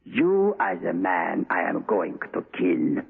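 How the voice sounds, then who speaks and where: A man's voice: low voice, sing-song, gravelly, slightly rough, soft background music, full character voice. A man acting a proud, taunting captive enemy agent in a 1970s radio drama, with a menacing manner. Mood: cold menace. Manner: slow-burning, taunting, menacing. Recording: muffled, tinny old radio recording.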